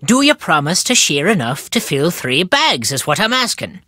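A gruff, wry old Irish countryman for a video game, stubborn and superstitious. His voice swoops with a lively storytelling lilt and has a bright, forceful edge, recorded close and dry. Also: a little high, moderate pace, no echo, exaggerated voice. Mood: brisk, bossy. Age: older. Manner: folksy inverted phrasing, storytelling lilt. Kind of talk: video game character dialogue.

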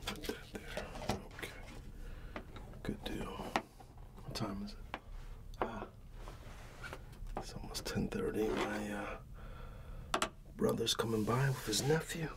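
A middle-aged man doing a casual ASMR barber roleplay, playing an easygoing uncle in a soft, breathy, often whispered voice and pausing for unheard replies. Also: fairly low pitch. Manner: unhurried, familiar and colloquial, with pauses for unheard replies. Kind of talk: ASMR roleplay, casual one-sided chat.

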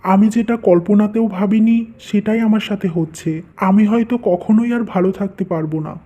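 An actor voicing a troubled, dutiful young husband and son, earnest and weary, in an animated family melodrama. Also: mid-range voice, normal speed, lightly acted. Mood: dejected. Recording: dull, lo-fi muffled sound.

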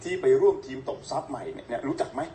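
Male news presenter, very animated intonation, mellow tone, colloquial viewer-facing commentary. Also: mid-range voice, rapid-fire. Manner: colloquial, addresses viewers directly. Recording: roomy echo, distant mic, faint noise.

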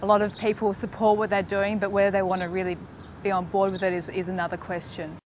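A woman who organizes the program, explaining it in a measured interview outdoors. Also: medium pitch, little pitch movement, a little mellow, a little hiss or hum. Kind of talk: interview commentary. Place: outdoors among greenery.

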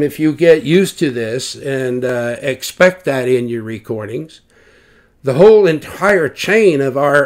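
Man, somewhat higher-pitched voice, informal technical explainer, self-correcting, rhetorical questions. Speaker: loudspeaker designer explaining measurements.

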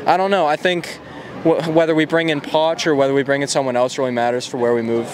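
A man's voice: fairly high pitch, flat delivery, fast talker. A seventeen-year-old male football fan talking casually, a bit unsure, in a street interview outside a stadium. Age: teens. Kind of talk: street vox pop interview. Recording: crowd noise around.

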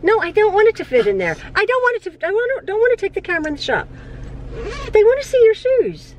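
A woman's voice: very high voice, very animated, quick pace, lo-fi sound, a little hiss or hum. A middle-aged woman chatting casually to a phone camera in a parked car, rambling with frequent restarts and laughs. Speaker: vlogger and mother. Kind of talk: casual chat. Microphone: phone held at arm's length.

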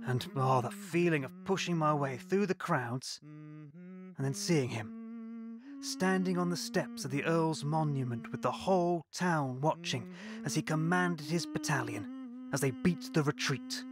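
English guy doing a wistful grown man remembering his war hero dad, bit higher voice, slow. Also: animated, crowd noise. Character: man recalling his soldier father, wistful. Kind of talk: radio play monologue.

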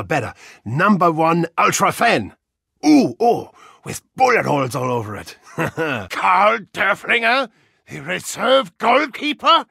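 Brash German hooligan game hero, German accent, raspy, forceful, bombastic, hot-tempered. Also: male voice, on the high side, no room sound, studio quality, full character voice. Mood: pleased, then furious. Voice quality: gravelly, raspy. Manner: bombastic, theatrical, swinging from growl to shout. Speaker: video game voice actor. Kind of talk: video game character voice lines.

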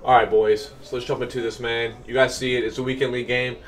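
A man's voice: mid-range voice, level tone, quick pace. A young man in his twenties, slightly nasal from a cold, giving upbeat casual commentary on his own game from a small room at home. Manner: upbeat, explanatory, many fillers. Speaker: gaming coach and YouTuber.

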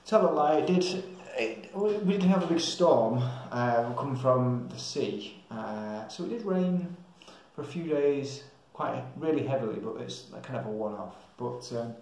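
A man's voice: mid-range voice, expressive, measured pace, few pauses, darkish tone, slight background noise. A young man with an English accent rambling casually to camera as a travel vlogger, hesitant and thinking aloud. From England. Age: young adult. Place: small tiled room indoors. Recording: very echoey small hard-walled room.

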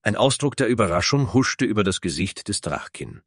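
A man with a low voice narrates a fantasy tale from a script. The recording is very dry, with hardly any room sound. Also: lively intonation, brisk, breathy edge, no room sound.

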